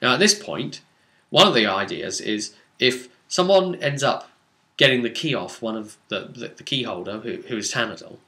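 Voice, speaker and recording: Male game master, mid-pitched and bright, telling an adventure recap in an informal, emphatic, conversational way. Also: lively intonation, moderate pace. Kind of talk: casual narrated recap of a role-playing adventure.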